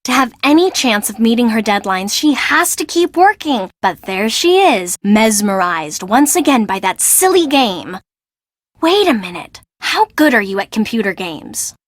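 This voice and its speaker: Young female game character, higher-pitched, bossy fashion assistant, exasperated, sarcastic, expressive, dry studio sound. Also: unhurried, dry recording. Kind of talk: video game voice acting.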